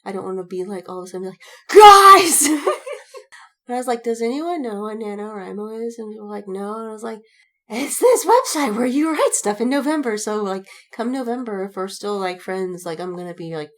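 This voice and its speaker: A woman podcast co-host chatting casually and telling animated anecdotes, giggly and acting out reactions as she retells them. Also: medium pitch, brisk.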